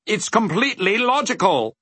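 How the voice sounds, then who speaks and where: A cheerful many-faced creature from a storybook. The voice sits far above a normal man's pitch and swoops about as it patiently explains, with sing-song emphasis. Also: big pitch swings, normal speed, sharp tone, slightly rough, no room sound, exaggerated voice. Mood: eager, instructive.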